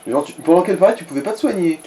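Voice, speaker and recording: A man's voice: high-pitched, lively intonation, very fast, warm tone. A man giving informal, sweary gaming commentary in speech, coaching the player along. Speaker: let's play co-commentator.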